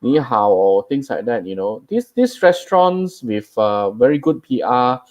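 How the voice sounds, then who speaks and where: Halting man giving travel warnings. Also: mid-range voice, sing-song. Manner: halting, repeats words, warning tone. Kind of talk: casual livestream chat.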